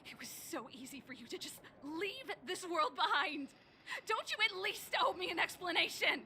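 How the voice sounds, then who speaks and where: A woman's voice: very high voice, lively intonation, moderate pace, pushed and bright, slight background noise, full character voice. A woman voicing a bitter, wounded researcher in a video game, dramatic and pained in delivery. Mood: bitter, anguished. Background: game music.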